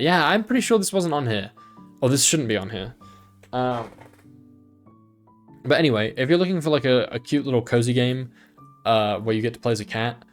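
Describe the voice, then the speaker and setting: Rambling man streamer, soft music behind. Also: medium pitch. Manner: rambling, many ums and restarts. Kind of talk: casual livestream chat.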